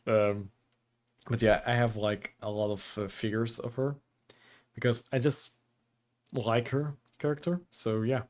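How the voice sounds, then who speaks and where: A man, a game developer streamer chatting casually with viewers, keeping his voice deliberately low and calm despite a dry throat, rambling with fillers. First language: Portuguese. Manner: deliberately lowered, calm delivery; rambling, with many fillers and laughs. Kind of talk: casual livestream chat with viewers.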